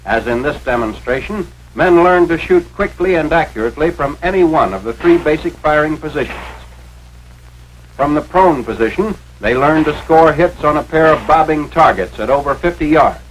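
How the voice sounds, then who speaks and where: A man's voice: on the high side, animated, moderate pace, a little hiss or hum, rough recording. An American man reading scripted narration for a 1940s military training film in an authoritative, instructional manner, on a vintage film soundtrack.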